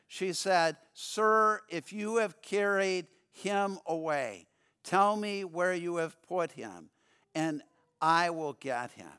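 A man preaching a sermon as pastor, earnest, often stumbling and repeating words, dropping lower and quieter to read scripture. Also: clear, resonant tone.

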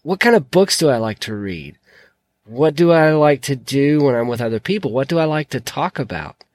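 A man hosting a solo podcast, his voice croaky from a sore throat, talking in an earnest, encouraging way. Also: medium pitch, animated, moderate pace, a little mellow, dry recording. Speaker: podcast host.

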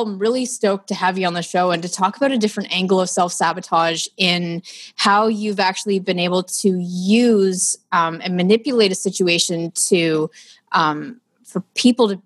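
A Canadian woman hosts a podcast in an eager, chatty way, with lots of fillers. Her voice sits slightly low for a woman. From Ottawa, Canada. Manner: enthusiastic, chatty, with many fillers. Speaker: podcast host. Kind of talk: casual podcast interview.

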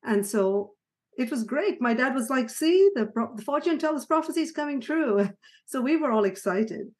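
A middle-aged woman born in Sri Lanka and raised in Ghana, talking through her life story as a podcast guest, with soft laughs and frequent restarts. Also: high-pitched, animated, moderate pace. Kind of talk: conversational storytelling interview.

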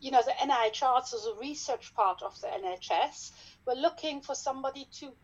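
A woman's voice: high-pitched, highs cut off. A middle-aged woman with a German accent, a dermatologist explaining her field carefully as a podcast guest. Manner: careful, explaining step by step with pauses.

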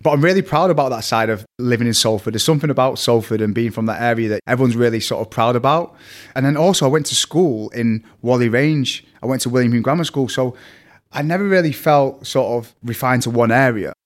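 A young man with a strong Mancunian accent, telling stories as a podcast guest in fast, scattered casual chat. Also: mid-range voice. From Manchester, England. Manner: fast, scattered storytelling with fillers.

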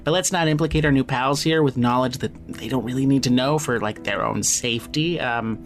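A man playing a scrappy, foul-mouthed, evasive character on the run in audio fiction, talking casually and haltingly. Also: mid-range voice, natural voice. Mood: brisk, reassuring. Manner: casual, sweary, halting when pressed. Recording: music under the voice. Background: music.

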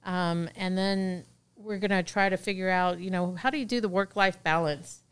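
A woman's voice: fairly low pitch, moderate pace, clear, resonant tone. A middle-aged woman hosting a podcast in a chatty, casual way, laughing easily. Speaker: podcast host.

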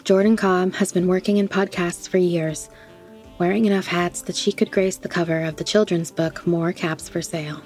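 A woman's voice: lowish voice, steady tone, moderate pace, darkish tone, faint music behind. A woman speaking as a podcast host and interviewer. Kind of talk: scripted podcast introduction, then casual interview chat.

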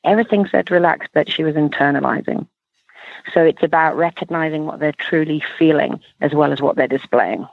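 Female horse trainer, gravelly edge, emphatic step-by-step interview explainer, thin phone audio. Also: low voice, brisk, no echo. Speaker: horse trainer and clinic instructor. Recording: band-limited phone line.

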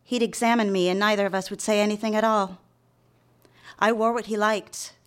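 An actress playing a demure young secretary in a live radio drama, confessing a secret love in polite, old-fashioned diction. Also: female voice, mid-range voice, steady tone, fairly quick, clean tone, lightly acted. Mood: dutiful, wistful. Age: young adult. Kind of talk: live radio drama with first-person narration.

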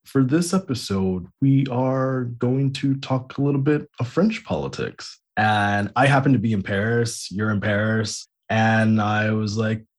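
A man's voice: on the low side, unhurried, hardly any pauses. A man hosting a podcast, narrating with wry asides and then interviewing a guest in casual chat. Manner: wry, laughs often, animated questioning. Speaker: podcast host.

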